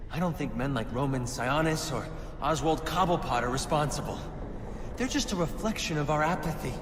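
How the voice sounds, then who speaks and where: A young, idealistic villain in a dramatic video game, his voice pitched a little high. He preaches with wounded, bitter passion. The sound rings with long reverb over clear background noise. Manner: preachy, impassioned monologuing. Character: idealistic, embittered young male anarchist villain, ranting and wounded. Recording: noisy game capture with long echoing reverb.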